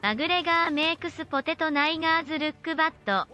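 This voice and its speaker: Rapid high synthetic female voice. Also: sharp tone, soft background music. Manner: rapid, clipped synthetic delivery. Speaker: donation message reader. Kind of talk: text-to-speech readout of donations.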